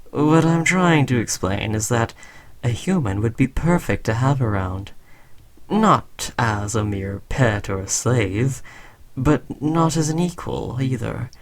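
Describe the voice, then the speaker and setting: A man playing a smug, condescending alien conqueror in an audio roleplay, talking slowly to a human servant with taunting, patronizing fondness. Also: lowish voice. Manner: slow, taunting, patronizing praise. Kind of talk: scripted audio roleplay addressed to the listener.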